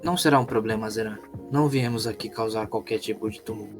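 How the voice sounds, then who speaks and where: Man playing a calm, courteous, formal traveler. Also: lowish voice, lightly acted. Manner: measured, formal, reassuring. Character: calm, courteous male traveler speaking formally to guards and companions. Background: background music.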